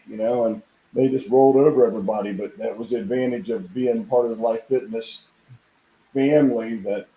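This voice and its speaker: Hoarse, dark-voiced middle-aged man, guest on a podcast, rambling storyteller in an echoey room. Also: mid-range voice. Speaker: podcast guest, equipment designer. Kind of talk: casual chat.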